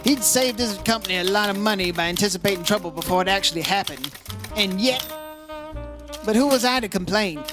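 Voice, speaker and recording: A woman playing a hard-boiled insurance investigator in an old-time radio detective drama, dry and measured. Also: mid-range voice, over music, natural voice. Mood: wry, narrating.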